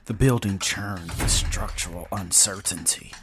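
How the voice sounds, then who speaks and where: Low-pitched male sci-fi storyteller. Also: a little slow, fairly bright tone, slight background noise. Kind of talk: scripted dramatic narration of sci-fi story. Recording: music under the voice.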